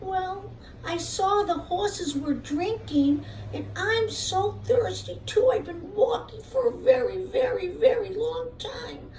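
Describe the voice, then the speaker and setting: A woman voicing a frail, plaintive little old lady who pleads slowly in a children's puppet show. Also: extremely high pitch, darkish tone, voice pushed up, exaggerated voice. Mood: plaintive, pleading. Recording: roomy, distant mic, faint noise.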